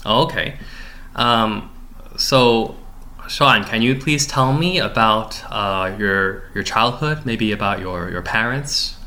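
A man's voice: fairly low pitch, slow pace, sharp tone. A young man, a graduate student, conducting an oral history interview with polite, formal questions.

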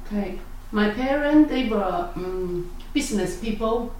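A woman's voice: medium pitch, lively intonation, darkish tone. Hesitant older woman, echoey. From South Vietnam. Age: 60s. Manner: hesitant, halting with many fillers and self-corrections. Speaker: interviewee. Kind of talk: oral history interview. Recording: echoey room, distant mic, faint noise.

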